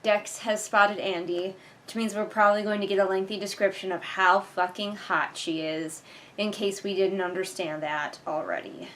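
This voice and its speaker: A woman's voice: mid-range voice, fairly even intonation, moderate pace, a bit bright, slight background noise. A woman hosting a casual read-along, reviewing a book with exasperated, sarcastic commentary. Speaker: book reviewer and video host.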